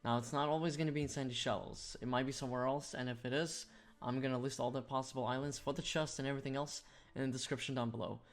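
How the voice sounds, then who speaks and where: A man's voice: mid-range voice, fairly even intonation, quick pace, hardly any pauses. A man giving a casual, step-by-step video game walkthrough as a guide host. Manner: explanatory, step-by-step. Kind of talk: walkthrough commentary, casual instructional. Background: music.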